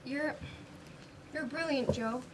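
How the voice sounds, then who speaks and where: A woman's voice: high voice, slow. A young woman student acting in an amateur stage play as an earnest, encouraging drama student friend. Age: teenager or young adult student performer. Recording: distant, noisy live stage recording.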